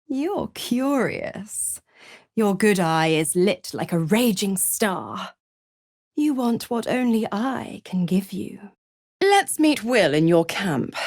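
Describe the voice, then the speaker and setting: A woman playing a seductive, scheming devil in a video game, theatrical and condescending, with a silky, mocking delivery that slips toward breathy whispers. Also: on the low side, dry recording, clean studio sound, full character voice. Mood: seductive, sly. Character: seductive, scheming female devil who trades in pacts. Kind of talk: video game character acting.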